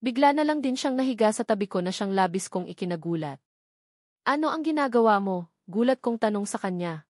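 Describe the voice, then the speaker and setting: A woman narrates a scripted first-person story in the manner of an audiobook. Her voice has a middle pitch and is recorded dry, with very little room around it. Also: brisk, dark tone, dry recording.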